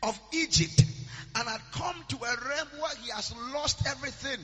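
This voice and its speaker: Male pastor, very high bright voice, sing-song preaching, hall reverb with soft music behind. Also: moderate pace. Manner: chanting, sing-song preaching with shouted peaks. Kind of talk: sermon.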